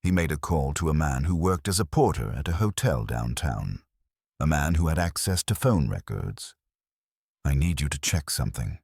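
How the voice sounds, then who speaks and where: A man's voice: extremely low pitch, animated, moderate pace, creaky, a little mellow, husky, no room sound, studio quality. A man narrating a scripted true-crime story in a dramatic, clipped storyteller style.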